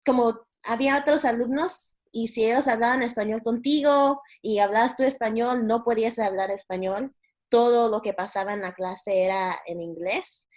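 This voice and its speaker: A woman's voice: a little high, normal speed. A young woman from Guatemala, a university student, giving a casual and hesitant personal account. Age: young adult.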